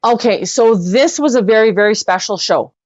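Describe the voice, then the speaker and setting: A woman's voice: medium pitch, normal speed, a little mellow, dry recording, lo-fi sound. A woman chatting casually as an interview guest, effusive and repeating words for emphasis. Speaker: interview guest, singer-songwriter and actress.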